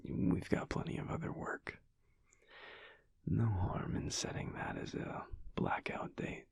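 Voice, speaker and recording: A man playing a tired, affectionate werewolf husband in an intimate audio roleplay, murmuring softly and haltingly in a breathy, husky near-whisper. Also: no room sound, studio quality, close to an everyday voice. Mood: wry, tired. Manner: halting, soft, murmured with hums.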